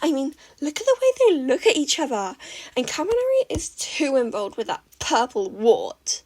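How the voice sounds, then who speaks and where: A woman voicing an excitable, gossiping teenage girl inventor who talks with breathless emphasis. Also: high-pitched, normal speed, voice pushed up, low-quality recording. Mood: gushing.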